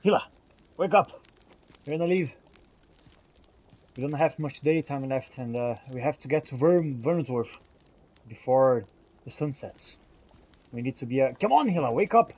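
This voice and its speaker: A man roleplaying a bossy, weary fantasy warrior in a video game, improvising theatrically with a husky voice. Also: mid-range voice, expressive, normal speed, pauses often, darkish tone, rough recording. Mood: impatient, exasperated. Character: male fantasy warrior, weary, bossy, exasperated with his companion. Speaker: gamer roleplaying as his character.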